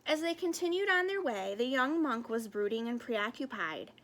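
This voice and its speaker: A woman reading a storybook aloud in an expressive narrating style with careful phrasing. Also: a little high, normal speed, poor audio. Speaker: storybook reader.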